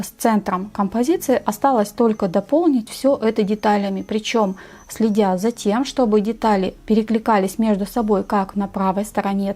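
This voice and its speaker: Bright-voiced woman narrating a craft tutorial over music. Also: mid-range voice. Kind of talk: step-by-step scrapbooking tutorial narration.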